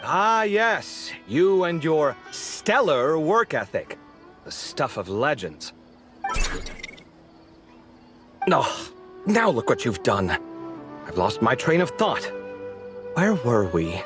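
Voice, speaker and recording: An actor playing an animated, sociable young man who bickers sarcastically with his roommate, in a game cutscene. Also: on the high side, takes it slowly, over music. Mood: exasperated. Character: expressive sociable young man architect, easily exasperated. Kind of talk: video game cutscene voice acting.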